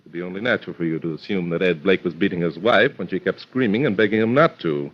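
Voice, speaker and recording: An actor playing a composed, courteous American amateur detective, polite and probing, in a radio mystery. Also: male voice, low-pitched, fast. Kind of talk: 1940s radio mystery acting. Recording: dull vintage radio recording.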